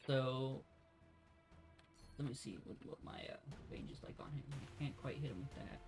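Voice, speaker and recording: A man's voice: medium pitch, normal speed, music in the background. A man doing casual let's play commentary, muttering through his decisions as he plays.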